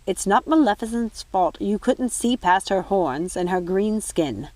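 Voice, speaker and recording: A woman's voice: mid-range voice, lively intonation, measured pace, darkish tone, no echo, natural voice. A woman voicing an older fairy nanny in a storybook, protective and remorseful, speaking with emphatic reproach. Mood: reproachful.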